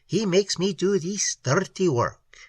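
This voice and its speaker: A man voicing a meek, bitter little immigrant craftsman in a story reading, with an Italian accent, a husky voice and halting broken English. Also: high-pitched, expressive, no room sound, pitched above the performer's own voice, full character voice. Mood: plaintive, resigned. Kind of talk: character voice in crime story reading.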